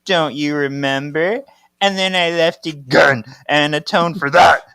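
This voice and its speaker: A man's voice: mid-range voice, moderate pace, bright, forceful tone, dry recording, clean studio sound, lightly acted. A man voicing a bitter, sarcastic, wounded daughter from a comic book.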